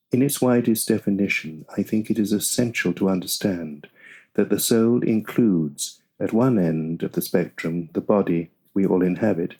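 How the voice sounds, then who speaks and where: A man reading a nonfiction audiobook in a measured, deliberate way. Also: low-pitched, warm tone. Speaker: audiobook narrator. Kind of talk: scripted nonfiction audiobook narration.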